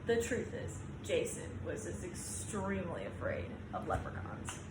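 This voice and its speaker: A woman teacher reading a children's picture book aloud with expressive storytelling. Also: fairly low pitch. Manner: expressive storytelling, drawn-out sound words. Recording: roomy echo, clear background noise.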